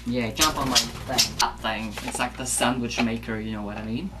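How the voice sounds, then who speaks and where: A woman's voice: very deep voice, steady tone, moderate pace. A young woman vlogging casually in her home kitchen, rambling and chatting to her pet bird as she makes lunch. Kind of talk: casual home cooking vlog. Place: small home kitchen, indoors. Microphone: handheld camera. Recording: noisy camera audio.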